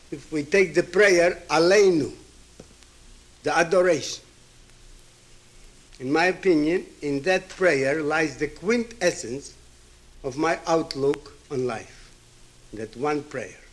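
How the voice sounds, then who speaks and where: Slow-speaking man in his seventies from Ukraine. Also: a little high, low-quality recording. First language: Hebrew and Yiddish. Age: 70s. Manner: emphatic, with rhetorical questions and repetition. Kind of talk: reflective public address.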